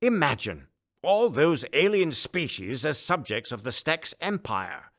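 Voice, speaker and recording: A man's voice: fairly high pitch, very animated, measured pace, a bit bright, pitched above the performer's own voice, full character voice. A man voicing a haughty, scheming alien emperor in an audiobook, imperious and condescending, grandiose with jovial menace. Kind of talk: audiobook character voice.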